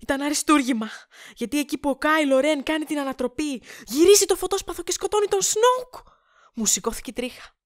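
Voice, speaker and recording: A woman playing an excitable young film fan in an audio drama, gushing and emphatic. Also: high-pitched. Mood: enthusiastic. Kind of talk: Greek amateur audio drama acting.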